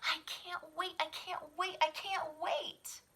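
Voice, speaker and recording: A woman voicing an eager, excitable little boy bear in a picture-book story. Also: very high-pitched, takes it slowly, voice pushed up, full character voice. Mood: eager, excited.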